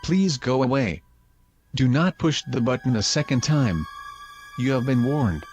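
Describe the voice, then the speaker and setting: A man's voice: medium pitch, animated, a little slow, darkish tone, close to an everyday voice. A man playing a stern recorded intercom message, stiff and formal, warning visitors away. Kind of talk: motion comic voice acting. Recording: dull lo-fi recorded message, music.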